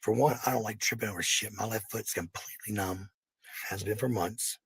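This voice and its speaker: A woman with a husky, raspy voice, ranting casually to her followers in a defensive, blunt, sweary manner. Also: very deep voice, a little fast, a crowd in the background, low-quality recording. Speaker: livestreamer.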